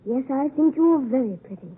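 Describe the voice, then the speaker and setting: A woman's voice: high voice, lively intonation, a little fast, breathy edge, heavily acted. A woman playing a polite, timid, honest young village boy with a meek, earnest manner in a 1950s radio drama. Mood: earnest, shy. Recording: muffled, faintly noisy 1950s radio recording.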